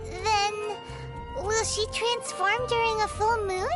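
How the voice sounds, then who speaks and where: Squeaky tiny fairy companion, cheeky. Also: female voice, fairly even intonation, takes it slowly. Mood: curious, hesitant. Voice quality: squeaky, childlike. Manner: refers to self in third person, exclaiming, bubbly. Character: tiny childlike female fairy companion, cheeky, excitable, easily offended. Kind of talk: English video game voice acting. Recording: music behind the voice. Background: music.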